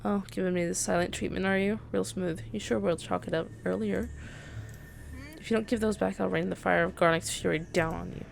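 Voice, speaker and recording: A woman's voice: lowish voice, steady tone, fairly quick, gravelly. A woman voicing a taunting, cocky young female villain from a video game, haughty and theatrical. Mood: menacing taunts.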